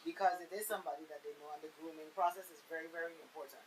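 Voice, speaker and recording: A woman's voice: deep voice, fairly even intonation, rapid-fire, poor audio. A woman on a talk show panel explaining emphatically, repeating key phrases, with faint hiss.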